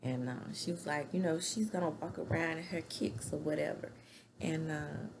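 A woman's voice: low-pitched, normal speed, background noise. A middle-aged woman giving a chatty, opinionated recap of a reality show from a room at home, recorded on a webcam. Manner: chatty and opinionated, hand gestures. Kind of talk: casual recap commentary. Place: small room at home, indoors. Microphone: webcam at desk distance.

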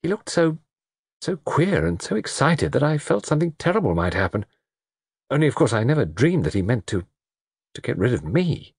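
A man doing a nervy young woman, pitched well above his own voice and rushing in emotional bursts. Her lines swing widely in pitch, husky and close. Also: very animated, no room sound. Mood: frightened. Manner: breathless, emotional and urgent. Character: frightened, impulsive young English woman. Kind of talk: audiobook character reading.

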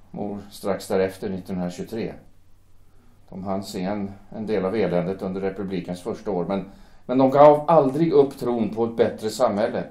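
A man playing a young German shipyard worker who speaks hesitantly and haltingly, torn by conscience. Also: lowish voice, dark tone, lightly acted. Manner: hesitant, halting, repeats words. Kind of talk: amateur audio drama acting. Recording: echoey room.

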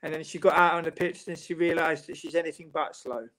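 A middle-aged man from England, a football writer and guest, talking on a video call in a measured, reflective way from a home room. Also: on the high side, fairly even intonation, fairly bright tone. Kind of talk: casual panel chat. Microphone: video call webcam.

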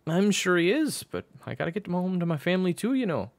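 A man voicing a gruff, sardonic male baseball coach with a brusque, casual drawl in an audiobook story. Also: sits high, very animated, fast, pitched above the performer's own voice, heavily acted. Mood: dismissive.

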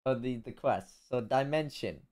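A young man live-streaming a video game on a headset mic, swearing often and reacting loudly. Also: normal speed. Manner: swears a lot, loud and jumpy pitch. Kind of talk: casual gaming chat.

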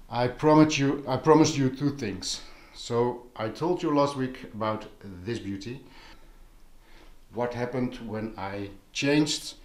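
A man talking casually as a vlog host, explaining things in a musing, unhurried manner while filming indoors. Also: mid-range voice. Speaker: audio vlogger, host. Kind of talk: casual vlog talk over handheld footage. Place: living room with carpet and couch, indoors.

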